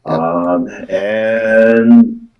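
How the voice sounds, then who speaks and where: A man giving a live psychic card reading, with halting, repetitive phrasing full of verbal tics. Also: on the low side, highs cut off. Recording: echoey room, distant from microphone.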